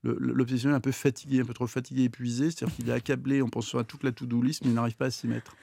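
A man speaking as an expert guest on a radio talk show, halting, with many fillers and restarts. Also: medium pitch, little pitch movement, creaky, warm tone, dry recording.

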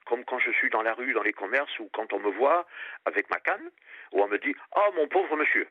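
A man's voice: medium pitch, lively intonation, a little fast, breathy edge, no echo. An older man from La Réunion calling a radio show by telephone, talking candidly in an earnest, outspoken way over a muffled line. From La Réunion, France. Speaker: radio phone-in caller. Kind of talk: candid personal conversation.

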